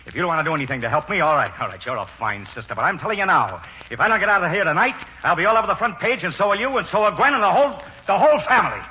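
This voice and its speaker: Flamboyant blustering stage brother, animated swooping delivery, sharp voice, 1940s radio play. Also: male voice, sits high, very fast, few pauses. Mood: frantic, indignant. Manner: theatrical, blustering. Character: flamboyant, frantic actor brother, hot-tempered and self-dramatizing. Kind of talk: 1940s radio drama acting. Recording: dull, faintly noisy old radio.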